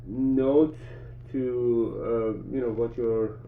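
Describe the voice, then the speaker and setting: A man who works as a doctor, from Iran, explains a treatment to a patient in a calm, reassuring way, full of fillers. His voice comes from across an echoey exam room. It sounds thin and phone-like, with faint hiss behind it. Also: mid-range voice, extremely slow. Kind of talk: casual clinic consultation. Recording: distant echoey phone-like recording.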